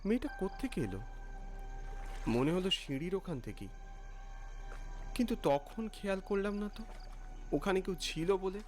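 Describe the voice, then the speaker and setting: Irritable, penny-pinching male narrator, acted by a man for an eerie cartoon tale; highish, swinging pitch, music underneath. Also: normal speed, long pauses. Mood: brooding, puzzled. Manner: expressive, exclaiming and questioning in dialogue. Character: irritable thrifty male office worker narrating his eerie evening. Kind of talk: dramatised first-person story narration. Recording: music bed behind the voice.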